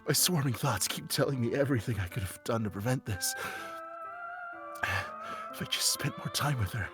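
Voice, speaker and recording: A man playing an anguished teenage schoolboy who narrates a visual novel fan dub in a halting, emotionally raw way. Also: mid-range voice, expressive, music in the background, close to an everyday voice. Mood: regretful.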